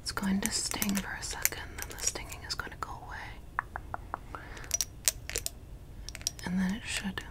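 A young woman in ASMR roleplay as a mysterious forest witch who tends a lost traveler, whispering softly close to the mic and repeating words soothingly in a small dim room. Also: medium pitch, flat delivery, a little slow, long pauses, close to an everyday voice. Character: mysterious, teasing forest witch woman, caring yet ominous. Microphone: close studio mic.

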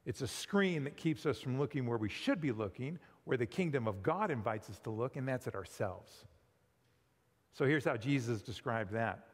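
A middle-aged man preaching a sermon in a church sanctuary, conversational and animated, often asking his listeners questions. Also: mid-range voice, moderate pace. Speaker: pastor. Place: large church sanctuary with pipe organ. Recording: long hall reverb.

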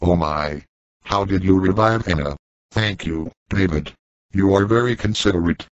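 A fairly low synthetic man voiced by a text-to-speech engine plays a stiff, formal robot hero. His mechanical voice has no room sound. The audio is dry and dull. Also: normal speed, dry recording, natural voice. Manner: stiff, formal machine reading.

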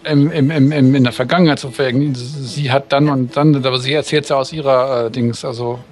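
A man chatting casually and helping translate, halting and correcting himself as he goes. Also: medium pitch, steady tone, a little mellow, soft background music. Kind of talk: casual chat.

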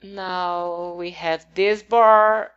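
A woman's voice: fairly low pitch, steady tone, very slow, clean tone, muffled recording. A woman whose first language is not English, talking casually over her game build in a hesitant, rambling way with many fillers.

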